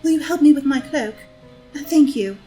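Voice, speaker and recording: A woman's voice: high-pitched, normal speed, dark tone, faint music behind, lightly acted. A woman playing a scheming, thieving, haughty society lady in a theatrical audio drama reading of a stage play. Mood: composed, sly.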